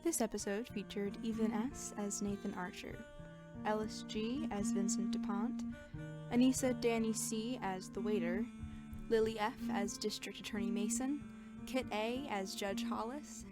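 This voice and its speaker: A woman reads scripted closing credits as a podcast announcer. Her voice sits at a medium pitch, with loud plucked guitar music playing behind it. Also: a little slow. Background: prominent guitar music.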